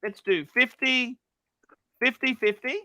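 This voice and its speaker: An excitable man hosting a livestream, jokey and loud as he reads donations and calls out to chatters. His voice sits high and sounds bright and forceful. The sound is dry and close, with little room around it. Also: slow pace, no echo. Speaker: livestream host. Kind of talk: casual livestream chat, reading out donations.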